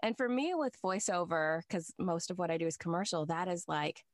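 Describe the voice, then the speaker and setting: A woman's voice: lowish voice, fairly quick, dry recording, studio quality. A woman who works as a voice actor, chatting casually and acting out quoted lines with frequent laughs. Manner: animated, acts out quotes, laughs often. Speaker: working voice actor. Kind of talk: casual podcast chat.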